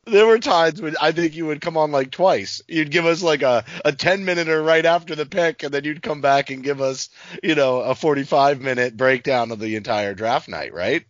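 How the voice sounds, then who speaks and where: A man's voice: on the high side, normal speed, no room sound. A man co-hosting a casual sports podcast, talking conversationally with the others. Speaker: podcast co-host. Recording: slightly dull, dry podcast audio.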